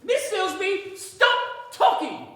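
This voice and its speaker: A woman playing an eager, bumbling young man in a staged radio play, halting and excitable, recorded echoey from far off. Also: very high-pitched, full character voice. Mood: flustered, commanding. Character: eager, bumbling, self-deprecating young man, nervously trying to take charge.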